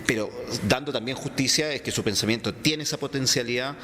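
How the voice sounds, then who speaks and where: A man from Chile with a Chilean accent, a philosopher answering interview questions in a halting way, full of fillers and restarts. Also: mid-range voice, pushed and bright. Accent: Chilean Spanish. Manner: hesitant, many fillers and restarts. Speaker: philosopher guest. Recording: reverberant room, faint background noise.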